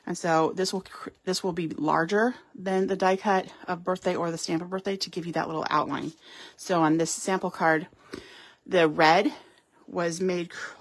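Woman doing a live craft demo, talks you through each step, lots of ums. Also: lowish voice. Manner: chatty, explains while working, frequent ums. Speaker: craft store demonstrator. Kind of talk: live card-making demo. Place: craft table at home or in a studio.

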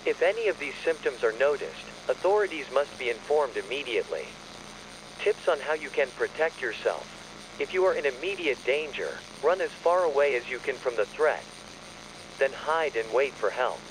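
A man reading a scripted emergency announcement in a formal, measured, official manner. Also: mid-range voice, very animated, noisy background. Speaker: narrator of a fictional emergency alert.

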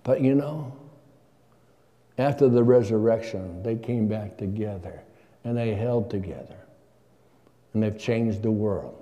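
A man's voice: on the low side, unhurried, long pauses, dark tone, muffled recording. An older man preaching a sermon from a church pulpit, asking his congregation questions in a conversational way. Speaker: guest preacher. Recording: long church reverb.

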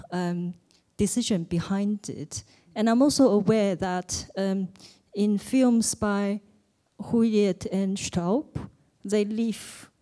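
Polite woman asking a slow question. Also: medium pitch, dark tone. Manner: careful, polite, reflective. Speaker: audience member asking a question. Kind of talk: audience question. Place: cinema auditorium. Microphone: roving handheld mic.

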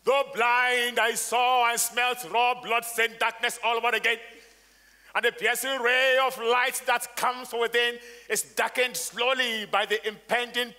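A man's voice: very high-pitched, bright, forceful tone, full character voice. A man playing an anguished, foreboding blind seer in declamatory stage acting, recorded from afar in an echoey theatre hall.

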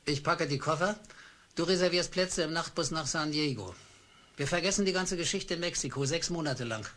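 An actor playing a meek, downtrodden office clerk who narrates his own story, nervously excited and blustering. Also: on the high side, moderate pace, natural voice. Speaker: narrator and lead of a radio play. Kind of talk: radio drama with first-person narration.

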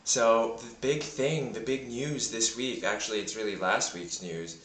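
A man chatting casually into a handheld camera in a rambling, self-deprecating way. His voice is deep and sounds a bit sick in the morning. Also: unhurried, a bit bright, echoey room, slight background noise, rough recording. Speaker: vlogger. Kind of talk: casual vlog monologue. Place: home in Berlin. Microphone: handheld camera mic.

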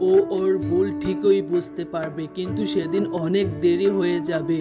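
A woman's voice: lowish voice, fairly even intonation, normal speed, over loud music, voice pushed down, lightly acted. A woman voicing a worried middle-aged village father in a cartoon, speaking in a heavy, resigned manner.

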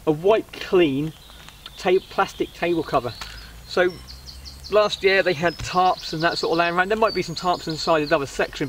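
A man with a high-pitched voice talks through gear in an informal, hesitant vlog style. He rambles as he goes, recorded outdoors at some distance from the camera, with clear background noise. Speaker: vlogger reviewing budget camping gear. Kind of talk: casual product review to camera. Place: outdoors on a wooden riverside deck. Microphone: camera a couple of metres away.

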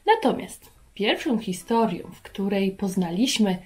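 A woman in her thirties hosting a children's lesson, talking to young viewers in an animated way and asking them questions. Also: mid-range voice, a little slow, faint music behind. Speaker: children's Bible lesson host. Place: carpeted room at home.

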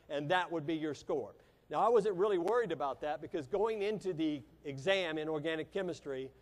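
An American man in his fifties giving a keynote speech as a motivational business speaker, telling a personal story in a theatrical way with dramatic pauses. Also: on the high side. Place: large convention hall stage. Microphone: lapel mic. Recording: echoey hall with long reverb.

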